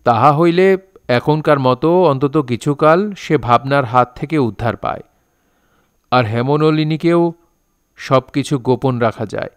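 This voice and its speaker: A man playing a revered storyteller-author, measured and pompous, narrating a literary audio drama in formal style. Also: mid-range voice, smooth, no echo, natural voice. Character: lofty storyteller-author revered as master, imperious and stern.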